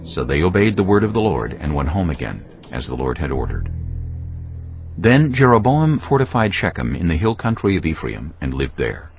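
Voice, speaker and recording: A man with a very low voice narrates scripture as solemn drama. His pitch moves expressively, and clear background music sits under a slightly dull recording. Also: very animated, moderate pace. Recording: muffled audio under prominent music.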